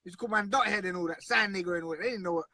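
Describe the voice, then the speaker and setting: A man's voice: high-pitched, very fast, bright, forceful tone, dry recording, clean studio sound. Earnest, blunt man. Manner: earnest, blunt, repeats himself. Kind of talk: casual conversation.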